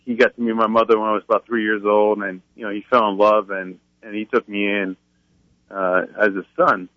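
A man's voice: lowish voice, level tone, fairly quick, dry recording. Male guest over a tinny phone line. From France. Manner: earnest storytelling with many restarts. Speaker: radio guest. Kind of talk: phone-in interview.

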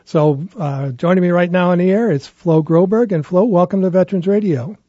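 A man's voice: sits high, a little fast, mellow, dry recording. A man hosting a radio interview, talking conversationally with small restarts. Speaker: radio host. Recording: muffled lo-fi broadcast audio.

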